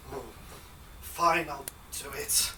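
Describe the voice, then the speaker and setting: An actor playing a grumpy, reluctant thunder god in a live stage show, complaining in an exasperated way. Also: male voice, on the high side, fairly even intonation, extremely slow, noisy background. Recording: distant mic with ambience.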